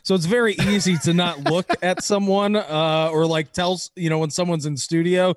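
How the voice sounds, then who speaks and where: Man, high voice, relaxed joking co-host, dry sound. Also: moderate pace, no room sound. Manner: laid-back, joking, laughs at himself. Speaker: podcast co-host. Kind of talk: casual sports chat. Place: home.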